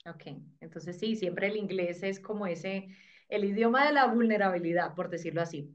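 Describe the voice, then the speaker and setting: A woman's voice: medium pitch, normal speed, dull audio. A woman hosting an interview, asking curious, encouraging questions in a casual conversational way. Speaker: podcast host.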